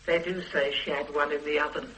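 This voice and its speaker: A gossipy old shopkeeper. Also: male voice, on the high side, level tone, fairly quick, bright, forceful tone, slight background noise, rough recording. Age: 60s. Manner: gossiping, knowing. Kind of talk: 1970s radio drama acting.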